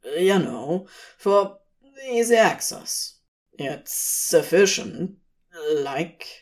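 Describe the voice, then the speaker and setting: A laid-back, raspy man, acted by a woman reader, sly and a little bashful. The low voice drawls slowly through casual slang, as a storybook character. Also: lively intonation, darkish tone. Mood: bashful, hesitant. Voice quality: raspy, sleep-rough. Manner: casual slangy phrasing, trailing off.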